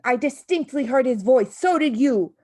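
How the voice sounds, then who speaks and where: A panicky storybook wife and mother, acted out by a woman reader. She is high and shrill, hissing and shrieking her bossy lines with great drama. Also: lively intonation, normal speed, darkish tone. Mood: terrified.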